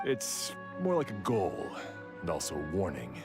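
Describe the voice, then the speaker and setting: An actor playing an earnest, polite blacksmith in a video game, speaking in a measured, thoughtful way. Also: male voice, sits low, huge pitch swings, heavily acted. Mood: earnest, reflective. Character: earnest, polite male blacksmith, proud of his craft. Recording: background music under the voice.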